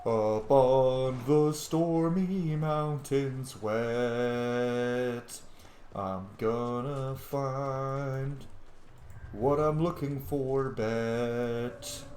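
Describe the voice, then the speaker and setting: A man's voice: mid-range voice, extremely slow, a little mellow. A man giving casual, playful gameplay commentary, swearing now and then and chatting to the game's characters. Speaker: streamer playing and commenting on a video game. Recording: roomy echo with background noise.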